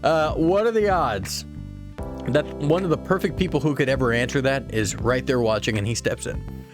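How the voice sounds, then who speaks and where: A man's voice: medium pitch, moderate pace, over music. A man hosting a reaction video, speaking earnestly and often chuckling, quoting scripture to make his case. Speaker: host of a reaction channel. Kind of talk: casual reaction commentary.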